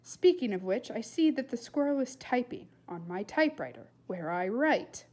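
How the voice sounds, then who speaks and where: A woman's voice: on the high side, expressive, normal speed, a little mellow. A woman voicing a tense, falsely cheerful mother with a stiff, artificial manner in a storybook. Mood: strained, guarded.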